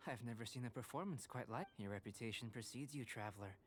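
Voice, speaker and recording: An actor playing a calm, curious young male alchemist in a video game, speaking in a measured, erudite and politely detached way. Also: mid-range voice, slight background noise, close to an everyday voice. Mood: intrigued, polite. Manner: measured, erudite, formal phrasing. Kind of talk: scripted video game character dialogue. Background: background music.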